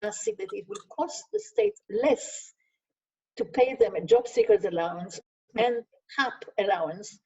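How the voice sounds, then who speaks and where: A woman's voice: a little high, wildly swooping pitch, unhurried, creaky, mellow. An older woman, a Hebrew speaker and sociologist, answering questions earnestly in an online masterclass, stressing points with lists and frequent restarts. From Israel. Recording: distant, dull lo-fi video call audio.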